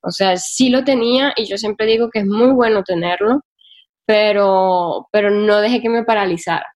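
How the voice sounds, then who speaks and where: A woman's voice: mid-range voice, normal speed. A woman from Colombia's Caribbean coast with that region's Spanish accent, telling her experiences candidly in a casual interview and repeating words for emphasis. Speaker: interview guest, solo traveler and travel writer.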